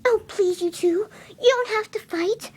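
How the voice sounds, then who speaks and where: A woman voicing a timid, anxious, gentle female pegasus pony in an amateur story reading, meek and stammering. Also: very high voice, unhurried. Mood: anxious pleading. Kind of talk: amateur dramatic reading of fan fiction.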